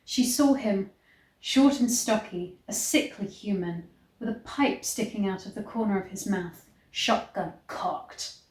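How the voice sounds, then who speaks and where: A woman reading a romance story aloud in a dramatic, expressive way, stretching words for emphasis. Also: mid-range voice, a little slow. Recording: echoey, roomy sound.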